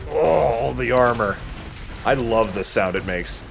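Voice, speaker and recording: A man's voice: mid-range voice, expressive, unhurried, vocal fry, background noise. A man giving casual commentary over a video game as he plays, joking, swearing and thinking aloud. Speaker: video game let's player. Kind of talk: casual gameplay commentary with friends.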